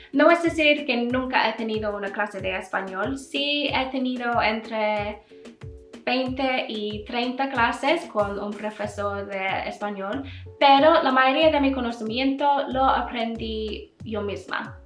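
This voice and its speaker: A chatty English woman with a fairly high voice, talking in her learned second language with an English accent and the odd learner slip. She laughs easily between answers. The sound is roomy and echoey, with faint music underneath. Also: a bit bright. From England. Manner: chatty, laughs often, learner slips in grammar. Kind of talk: casual Q&A vlog.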